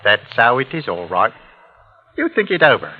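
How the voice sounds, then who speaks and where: Radio-play villain: working-class husband and crook, insinuating, very animated pitch, muffled vintage recording. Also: male voice, normal speed, slightly rough. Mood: sly, coaxing. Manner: sly, coaxing, insinuating. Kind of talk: old-time radio drama acting.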